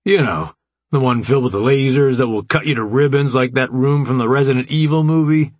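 A man's voice: on the low side, sing-song, moderate pace, vocal fry, fairly bright tone, husky, no room sound, heavily acted. A man voicing a blunt, impatient, sarcastic male character in an audiobook.

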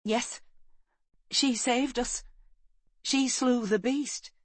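A woman's voice: fairly high pitch, fairly even intonation, very slow, no room sound, pitched above the performer's own voice. A woman voicing a shaken, grieving young boy warrior in an audiobook story, earnest and emotional.

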